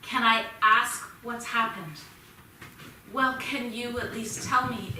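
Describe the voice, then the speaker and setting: Worried, pleading mother, played by a woman, unhurried higher voice, reverberant noisy stage recording. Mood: alarmed, pleading. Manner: hesitant, worried questioning. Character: anxious, pleading mother of a teenage boy. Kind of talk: staged play reading. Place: small theatre, staged reading. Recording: noisy, very echoey theatre from far off.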